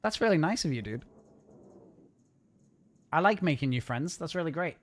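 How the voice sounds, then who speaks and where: A man's voice: fairly high pitch, lively intonation. Chatty man streaming. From north of England. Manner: chatty, rambling, fast-talking. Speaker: game streamer. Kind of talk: casual live-stream chat. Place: home gaming setup.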